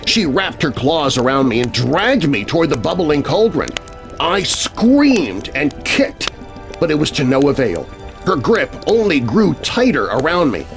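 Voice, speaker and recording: Animated male horror storyteller. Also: on the high side. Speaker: narrator of children's horror stories. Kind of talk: dramatic scripted storytelling, first person. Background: music bed.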